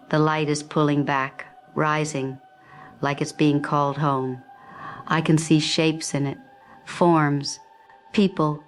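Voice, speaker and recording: Low-voiced, dazed, awestruck survivor. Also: female voice. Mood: awed. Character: dazed, awestruck female survivor calling from a blighted zone. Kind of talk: radio drama acting, phone-in.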